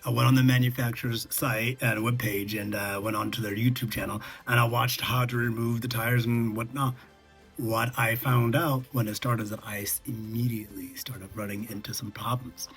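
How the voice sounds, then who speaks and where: A man vlogging in a casual, conversational way, recounting lessons learned and often checking in with the listener, with a husky voice. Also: on the low side, a bit bright. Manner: conversational, checks in with "okay" and "all right". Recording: music behind the voice.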